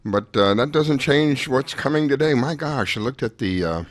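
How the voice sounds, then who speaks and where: Hoarse man hosting a radio show. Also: mid-range voice, animated, normal speed, dry recording, studio quality. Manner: chatty, rambling, with frequent restarts and fillers. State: hoarse after a late night. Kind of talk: casual talk-radio chat.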